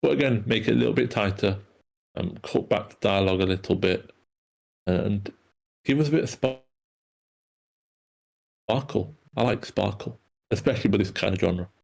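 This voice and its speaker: Man, heavy vocal fry, lowish pitch, halting informal critique. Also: darkish tone. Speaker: script reader giving feedback. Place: online meeting.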